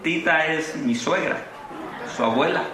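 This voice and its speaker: A man's voice: on the high side, unhurried, noisy background. A middle-aged Mexican man with a Mexican Spanish accent, preaching a sermon at a convention, moving from fervent proclamation to easygoing anecdotes. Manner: impassioned preaching with rising exclamations, then relaxed storytelling. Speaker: pastor. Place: large convention hall. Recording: echoey hall from far off.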